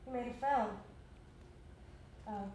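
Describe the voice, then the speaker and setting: A woman's voice: fairly high pitch, takes it slowly, dark tone. A woman playing a concerned, probing, awkwardly kind workmate in a live stage play, asking questions solicitously. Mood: gently probing. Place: indoor stage, recorded live. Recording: echoey, noisy live theatre recording.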